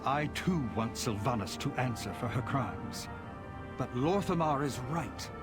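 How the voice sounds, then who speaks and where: A man playing a firm, reasonable noble ally in a game, earnest and deliberate. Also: medium pitch, expressive, natural voice. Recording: loud music behind.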